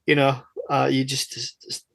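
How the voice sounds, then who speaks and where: Man, mid-pitched, casual podcast interviewer, rambling, self-deprecating, very dry recording. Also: monotone, moderate pace, gravelly, a bit bright, no echo.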